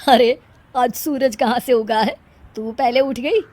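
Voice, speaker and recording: A woman's voice: on the high side, measured pace, darkish tone. A woman voicing a weary, wry, grumbling older mother-in-law in a moral story. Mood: wry, surprised.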